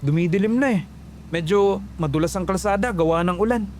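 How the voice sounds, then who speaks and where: A man's voice: high-pitched, fairly quick, a little mellow, slight background noise. A man voicing a young man who drives his friends and speaks casually and politely.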